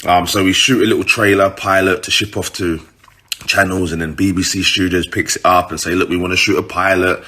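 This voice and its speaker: A man's voice: low-pitched, level tone, brisk, sharp tone. A man with a British urban accent telling a story casually to his followers, informal, slangy and sweary.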